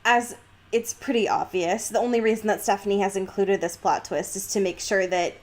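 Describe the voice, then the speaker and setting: Woman, podcast co-host, mid-range pitch, casual book talk, emphatic, exasperated, slangy. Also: fairly even intonation, a little fast, a little mellow. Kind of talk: casual book discussion with mocking quotes.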